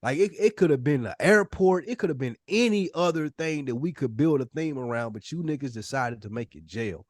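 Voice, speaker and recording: A man's voice: fairly high pitch, expressive, brisk, few pauses, dry recording, clean studio sound. A man co-hosting a casual podcast, talking bluntly with slang and swearing. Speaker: podcast host.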